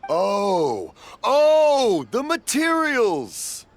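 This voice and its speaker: A man's voice: very high voice, wildly swooping pitch, extremely slow, heavy background noise, exaggerated voice. An actor playing a cheerful, proud, hard-of-hearing old father in a video game, rambling like a born storyteller. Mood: confused. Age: older. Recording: music under the voice.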